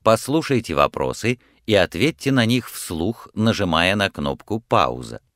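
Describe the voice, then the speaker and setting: A man with a very low voice reads out language-course instructions in a clear, measured way. His pitch moves a lot from word to word. The studio sound is dry and close. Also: big pitch swings, fairly bright tone, no room sound. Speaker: course narrator giving instructions.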